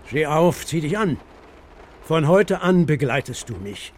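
An actor playing a stubborn, gruff old herder grandfather with a curt, commanding, scornful manner in a children's radio drama. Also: a little high, lively intonation, unhurried, slight background noise. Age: older.

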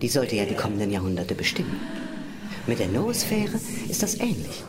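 A woman's voice: a bass voice, sing-song, moderate pace, very noisy. A woman playing a musing tour guide in an experimental radio play, confiding and often dropping toward a whisper. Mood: hushed, musing. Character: female tour guide, hushed, musing, leading listeners through strange sphere. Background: music.

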